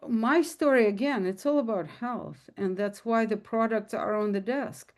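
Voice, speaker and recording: A woman's voice: a little high, a little mellow. A woman with a Russian accent, speaking casually to an audience on a video call, earnest and emphatic, often repeating herself. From former Soviet Union. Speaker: guest speaker.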